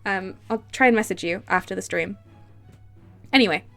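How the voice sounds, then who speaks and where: A woman streaming a game, chatting casually and reading its text aloud, excitable and quick to laugh, with a stuffy nose and sore throat from a cold. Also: creaky, soft background music. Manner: excitable, laughs easily, bursts into high delight.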